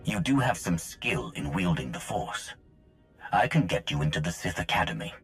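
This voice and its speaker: Game villain: cold Sith, deep voice, commanding and terse, varied intonation, music behind. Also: male voice, very animated, moderate pace, poor audio. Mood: probing. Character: menacing, imperious adult male Sith, cold and commanding. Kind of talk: video game dialogue acting.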